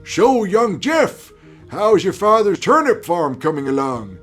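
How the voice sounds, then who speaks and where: A man acting out an old village carpenter in a tabletop game, nosy and chatty. The voice sits fairly high and ambles through rambling small talk, with music underneath. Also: very animated, measured pace, a bit bright, full character voice. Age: older.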